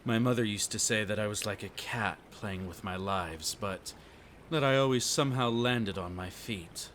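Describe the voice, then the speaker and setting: A man acts a soft-spoken young stranger in an audio roleplay, injured and unsure of himself. His speech is polite, a little formal and halting, set low over some background ambience. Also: a little hiss or hum, natural voice. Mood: wry, uncertain.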